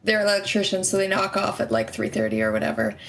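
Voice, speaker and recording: Mid-pitched woman chatting at a tabletop game. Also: big pitch swings, fast talker, slight background noise. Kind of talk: casual improvised role-play chat.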